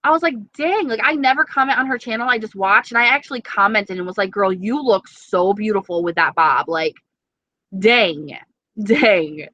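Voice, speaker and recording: Chatty East Coast woman, bright voice. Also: mid-range voice, moderate pace, dry recording, muffled recording. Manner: chatty, swearing, animated. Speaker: beauty vlogger. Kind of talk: casual live chat reading viewer comments.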